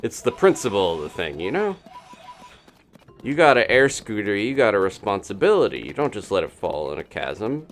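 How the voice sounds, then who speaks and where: A man's voice: lowish voice, very animated, moderate pace, a little hiss or hum. A man giving casual live gameplay commentary, chatting and thinking aloud in unfinished asides. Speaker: video game streamer.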